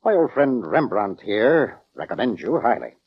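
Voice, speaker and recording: An actor playing a pompous, self-important orchestra conductor in 1940s radio drama style, formal, theatrical and easily affronted. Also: male voice, fairly low pitch, sing-song, normal speed, slightly rough. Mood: troubled, indignant. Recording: dull vintage radio recording.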